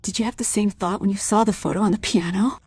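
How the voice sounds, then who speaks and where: An actress in a radio play voicing an anguished, fearful mother, speaking intimately and dramatically in a breathy, husky voice. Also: mid-range voice, steady tone, quick pace. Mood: anguished, urgent. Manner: dramatic, swinging from hushed to urgent. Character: anguished, fearful wife and mother, intense and brooding.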